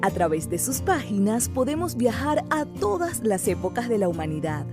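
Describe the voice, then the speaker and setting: Animated woman announcer over music. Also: fairly high pitch, normal speed. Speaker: radio promo announcer. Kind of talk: scripted radio promo narration.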